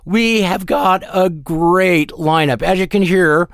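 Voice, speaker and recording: A hoarse man hosts a music show in easy, self-mocking banter. His voice sits high and his talk is unhurried. The sound is dry and close. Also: no room sound. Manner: self-deprecating, joking. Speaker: host. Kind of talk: casual radio show hosting.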